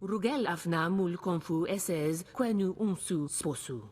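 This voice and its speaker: A woman's voice: fairly low pitch, measured pace, a little mellow. An actress playing a female alien in a video game, speaking lines in an invented alien tongue. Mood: earnest. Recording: long reverberant tail.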